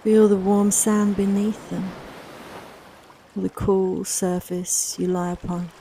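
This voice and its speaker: A woman leading a guided relaxation meditation in a soft, soothing, unhurried, reassuring manner, with long pauses between phrases. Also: medium pitch, little pitch movement, slight background noise. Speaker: guided meditation and yoga nidra teacher.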